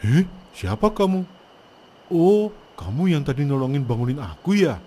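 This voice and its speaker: Man voicing an excitable, vengeful ghost meatball seller. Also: fairly high pitch, normal speed. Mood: scheming. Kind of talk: comic horror cartoon dubbing. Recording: music playing behind.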